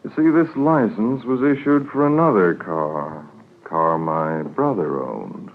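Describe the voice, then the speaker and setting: A deep-pitched, evasive crook in a 1950s radio drama. He hesitates and hedges as he offers excuses. The recording is muffled and narrow. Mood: evasive, hesitant. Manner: hesitant, hedging, halting. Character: evasive male robbery suspect making lame excuses.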